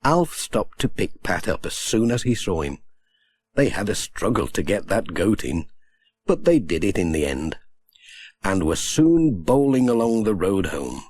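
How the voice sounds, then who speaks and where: A man's voice: fairly low pitch, sing-song, unhurried, darkish tone. A man reading a scripted children's story as an audiobook narrator.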